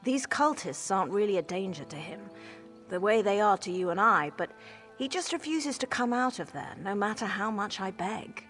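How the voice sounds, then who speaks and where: An actress playing an exasperated, wry mage scholar in a video game, explaining things articulately. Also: female voice, medium pitch, lively intonation, normal speed, a bit bright, soft background music, natural voice. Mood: weary, concerned. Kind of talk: conversational video game dialogue.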